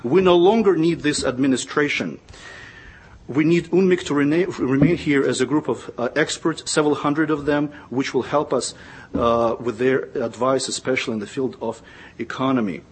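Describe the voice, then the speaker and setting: A man speaking on a conference panel, measured and pausing often, repeating words as he searches for them. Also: mid-range voice, husky.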